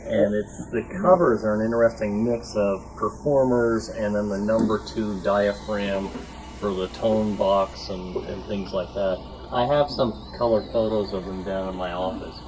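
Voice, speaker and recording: A man's voice: on the low side, steady tone, unhurried. A man giving an informal, informative show-and-tell talk in a conversational way. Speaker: head of a library, club speaker. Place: club meeting room. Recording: noisy live room recording.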